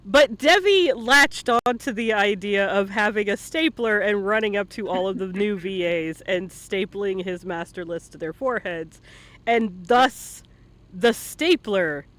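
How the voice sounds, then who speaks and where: Guy with a pretty high voice, playful storyteller, hosting an online chat stream. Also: unhurried, a bit bright. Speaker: interviewer and stream host. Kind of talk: casual livestream interview chat. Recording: live online stream audio.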